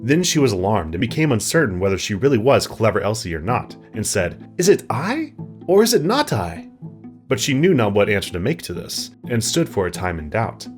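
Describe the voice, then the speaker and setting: A man reading a fairy tale as scripted narration, in an expressive storybook manner. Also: on the low side, brisk, few pauses, faint music behind.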